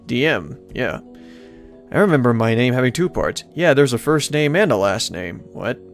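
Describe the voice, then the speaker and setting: Animated man doing playful game commentary. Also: mid-range voice, normal speed, soft background music. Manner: playful, rambling, reacting aloud to the game. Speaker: let's play host. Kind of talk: casual game commentary mixed with reading aloud.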